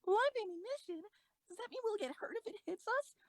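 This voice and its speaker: A woman playing an excitable, easily alarmed teenage girl on a tank crew in an amateur audio drama. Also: very high voice, brisk, dry recording.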